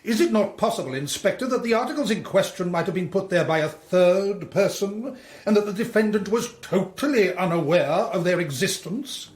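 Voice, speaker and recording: High-pitched, pompous English barrister. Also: male voice, lively intonation. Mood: imperious. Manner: imperious, precise, theatrically deliberate. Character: pompous, relentless English defence barrister, badgering and sardonic. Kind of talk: radio drama courtroom cross-examination. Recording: roomy courtroom-like echo.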